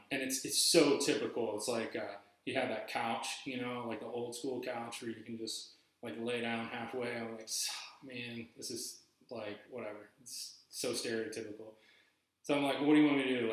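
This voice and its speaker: A man's voice: medium pitch, fairly bright tone. A man hosting a podcast, telling a personal story in a loose, rambling way with lots of restarts and fillers. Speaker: podcast host. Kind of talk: casual storytelling monologue. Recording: very echoey room.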